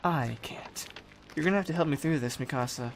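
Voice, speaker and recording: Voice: mid-range voice, a little fast, slight background noise, natural voice. A performer acting a teasing, protective young brother in an audio drama, talking casually. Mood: teasing, fond.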